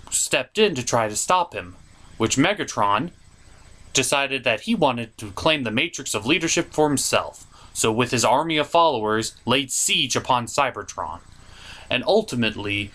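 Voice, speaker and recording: A man hosting a puppet review show, delivering animated recap commentary in a theatrical, emphatic showman style with drawn-out words. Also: mid-range voice.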